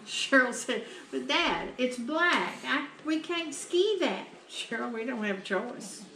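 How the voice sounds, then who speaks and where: Older mom, matter-of-fact kitchen chatter, slightly high, background noise. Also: female voice, lively intonation, slow, sharp tone. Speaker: mother making tamales. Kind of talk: casual family chat. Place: home kitchen, indoors.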